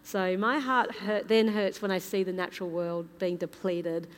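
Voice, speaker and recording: A woman's voice: medium pitch, steady tone, normal speed. A woman moderating a live panel, speaking unscripted in an earnest, conversational way. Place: large indoor hall at a live museum event. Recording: hall reverb, noisy live event.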